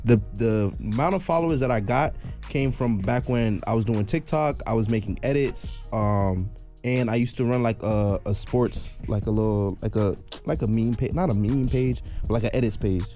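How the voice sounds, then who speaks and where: A man's voice: fairly low pitch, moderate pace, dark tone, faint music behind. A young man in a casual group chat, easygoing, with hesitant restarts as he explains.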